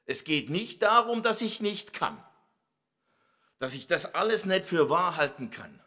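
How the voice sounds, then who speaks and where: Slow-speaking, bright-voiced Swabian preacher. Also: male voice, a little high, very animated. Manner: emphatic, asks the audience rhetorical questions. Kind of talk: sermon.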